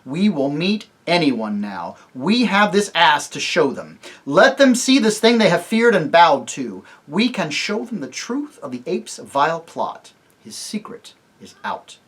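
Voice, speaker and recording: A reader voicing a stern young king who speaks loudly and formally. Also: mid-range voice, very animated, takes it slowly, pauses often, exaggerated voice. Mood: commanding. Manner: loud, forceful, formal.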